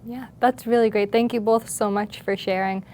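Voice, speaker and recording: A woman's voice: a little high, fairly even intonation, normal speed, a little mellow, slight background noise. A woman hosting an interview, speaking politely in prepared introductions and questions.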